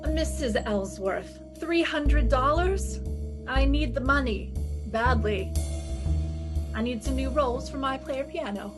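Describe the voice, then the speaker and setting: Radio mystery lead: actress as brash young female detective, fairly high voice, sassy and wry, music behind. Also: slow pace. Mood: brisk, persuasive. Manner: sassy, wry, self-assured. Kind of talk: retro radio detective drama with first-person narration.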